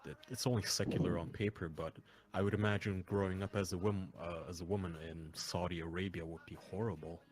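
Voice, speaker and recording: Low-voiced man asking probing questions. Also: steady tone, brisk, few pauses, slightly rough, low-quality recording. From Turkey. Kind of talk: debating on a voice call. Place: online voice chat.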